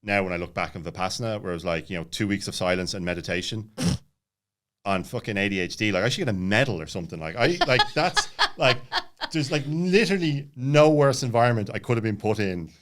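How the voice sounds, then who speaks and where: A man's voice: lowish voice, lively intonation, brisk, fairly bright tone. A man in his late thirties telling personal stories casually as a podcast guest, rambling with many false starts. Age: late 30s.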